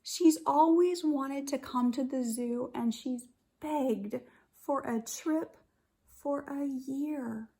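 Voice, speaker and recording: A woman teacher reading a picture book aloud to young children, expressively and deliberately, drawing out her words. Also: sits high, a little hiss or hum. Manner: deliberate, animated storytelling with drawn-out words.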